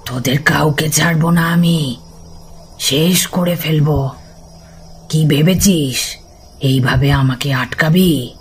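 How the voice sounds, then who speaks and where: An actor playing a vengeful demon ghoul in an audio horror drama, shouting threats in a shrill, strained voice. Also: male voice, takes it slowly, pauses often. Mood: enraged, threatening. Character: vengeful menacing night-roaming demon ghoul, screeching and threatening.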